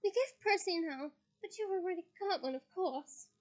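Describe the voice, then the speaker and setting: A woman's voice: extremely high pitch, expressive, brisk, a little mellow, voice pushed up. A woman voicing a fussy, doting middle-aged mother who gushes breathlessly. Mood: fond, fussing. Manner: breathless, gushing, fretful.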